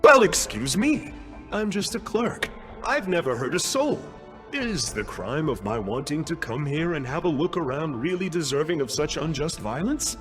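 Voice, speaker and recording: A youthful, scheming clerk from a video game, speaks in a high, wildly animated voice. He is pompous and wheedling, piling up fancy words with theatrical self-importance, over clearly audible music. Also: normal speed. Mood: mock wounded.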